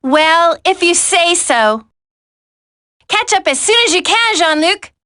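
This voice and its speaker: A woman voicing a level-headed, caring commando in a video game, composed and crisp. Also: very high voice, expressive, takes it slowly, bright, forceful tone, exaggerated voice. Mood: concerned.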